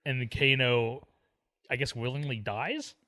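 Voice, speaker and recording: Bright, punchy-voiced man chatting. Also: mid-range voice, measured pace. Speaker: film podcast co-host. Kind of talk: casual film discussion.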